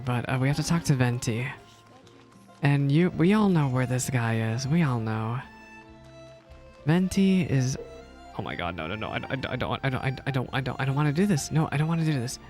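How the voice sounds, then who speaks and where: A woman with a very low voice gives casual, playful reactions as she plays a video game. She is chatty and teasing, with faint game music underneath. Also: animated, moderate pace, darkish tone. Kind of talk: casual gameplay reaction commentary.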